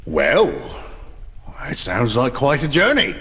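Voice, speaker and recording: A man's voice: medium pitch, wildly swooping pitch, slow, bright, forceful tone, long reverb tail, exaggerated voice. An actor playing a huge, hearty, grey-bearded northerner in a fantasy audio drama, teasing and chuckling as he talks. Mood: amused, teasing. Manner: hearty, teasing, chuckling through his talk. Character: huge boisterous jolly grey-bearded northern mountain of a man.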